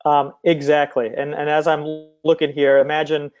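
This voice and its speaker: A man's voice: a little high, completely flat delivery, moderate pace, darkish tone, faint music behind. A man speaking conversationally as an interview guest, explaining things over slightly muffled call audio. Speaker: guest, company CEO and former recruiter.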